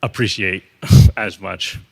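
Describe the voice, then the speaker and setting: A man's voice: low-pitched, steady tone, slow pace, gravelly. A man asking a spontaneous question from the audience in a hesitant, tentative manner.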